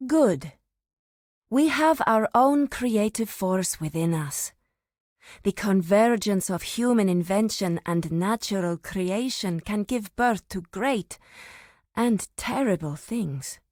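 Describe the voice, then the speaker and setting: Solemn female grove warden, fantasy game, slow delivery, commanding, formal, dry close recording. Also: medium pitch, animated, a little mellow, no echo. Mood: measured, instructive. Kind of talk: scripted fantasy game dialogue.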